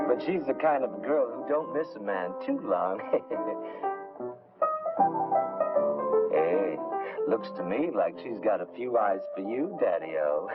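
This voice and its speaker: An actor playing a giggly hipster jazz musician in a 1950s film, drawling in chuckly beatnik slang. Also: male voice, lowish voice, animated, slow, pauses often. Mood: loose, sly. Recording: tinny old film soundtrack, loud music.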